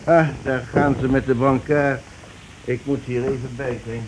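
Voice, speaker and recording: Radio drama male actor: sceptical doctor, mid-pitched, formal and questioning, on a clearly noisy old recording. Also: little pitch movement, normal speed, lightly acted. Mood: puzzled, probing. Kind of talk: radio play acting.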